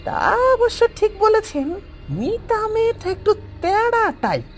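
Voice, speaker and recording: A woman's voice: extremely high pitch, very animated, normal speed. A woman voicing a scheming male village henchman who talks in a sly, sneering way in a cartoon story. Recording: soft background music.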